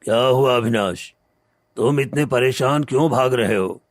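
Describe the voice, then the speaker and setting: A man's voice: mid-range voice, a little slow, no echo, clean studio sound, natural voice. A man playing a callous, scheming elder brother and rich moneylender in a cartoon story, grave and commanding. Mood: feigned concern. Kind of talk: cartoon moral story acting.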